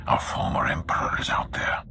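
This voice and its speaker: A man playing a grave, commanding Sith lord in a video game, with a solemn, deliberate and authoritative delivery. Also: bright, forceful tone, whispered, close to an everyday voice. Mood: grim resolve. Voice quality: very deep, gravelly. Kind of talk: video game cutscene acting.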